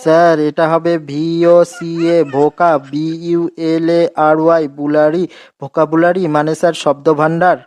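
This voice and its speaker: A man's voice: a little high, flat delivery, a little slow, darkish tone, natural voice. A man playing an eager schoolboy answering in class in a comedy cartoon. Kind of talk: comic cartoon dialogue.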